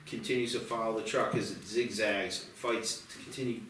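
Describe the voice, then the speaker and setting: A man's voice: lowish voice, steady tone, normal speed, fairly bright tone, distant mic, a little hiss or hum. A man reading scripted action and stage directions as narrator at a screenplay table read. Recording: roomy, off-mic, music behind.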